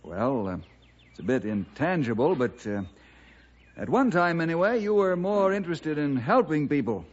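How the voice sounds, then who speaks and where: An actor playing an earnest, upright forest ranger in an old radio drama, speaking in a measured, fatherly way. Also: a little high, big pitch swings. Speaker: ranger and lead character. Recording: dull, band-limited old radio recording.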